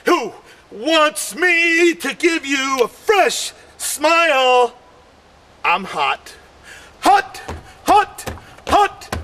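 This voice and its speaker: A man doing a rambling stand-up comedy act, shouting his punchlines and losing his voice. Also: very high-pitched, big pitch swings, drawn-out words, long pauses. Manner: rambling, shouts punchlines, keeps saying "man" and "you know".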